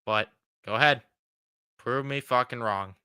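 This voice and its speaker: A man in his twenties vents with blunt, frustrated, often profane commentary, though he is getting over a cold. His voice is very bright and crisp. The sound is close and dry. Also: mid-range voice, measured pace, dry recording. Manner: blunt, frustrated, swears freely. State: fighting a cold. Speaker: sports fan show host. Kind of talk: casual reaction commentary.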